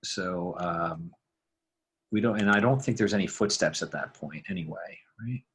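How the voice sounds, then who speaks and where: A man narrating a casual software tutorial, informally thinking aloud as he works. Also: low-pitched, moderate pace, husky. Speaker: podcast creator and sound designer. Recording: background noise, mouse clicking.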